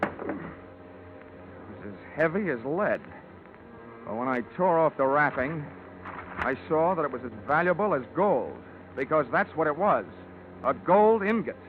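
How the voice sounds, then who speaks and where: A man's voice: a little high, lively intonation, a little slow, long pauses. A man playing a tough, self-assured insurance investigator in a radio drama, narrating and talking in a dry, sardonic, hard-boiled way. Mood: narrating, brisk. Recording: tinny old radio recording with music.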